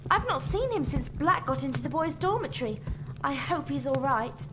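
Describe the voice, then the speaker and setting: A woman's voice: high-pitched, expressive, moderate pace. A woman playing a concerned, chatty young schoolgirl in a video game. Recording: clear background ambience noise.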